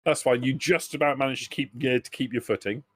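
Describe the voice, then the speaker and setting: A man with a mid-pitched voice serves as game master at a tabletop session. He improvises scenes and explains rules, recorded close and dry. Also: fast, a bit bright, dry recording.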